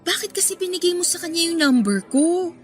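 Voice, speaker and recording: A woman's voice: extremely high pitch, expressive, fairly quick. A woman playing a reserved, self-deprecating young woman in a radio drama, hesitant and confiding. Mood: shy, friendly. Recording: music behind the voice.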